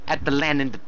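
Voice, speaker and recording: A man's voice: a little high, pushed and bright. A man reading fan fiction aloud for laughs, with casual banter and frequent giggly breaks. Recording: muffled, noisy home recording.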